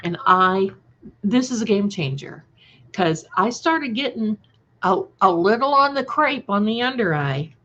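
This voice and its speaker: A slightly muffled, lo-fi livestream recording of a woman in her sixties. She chats at a slow, unhurried pace, casual and affectionate, talking straight to her viewers as the host. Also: medium pitch, animated, fairly bright tone. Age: 60s. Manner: chatty, affectionate, addresses listeners with endearments. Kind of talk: casual live chat with viewers.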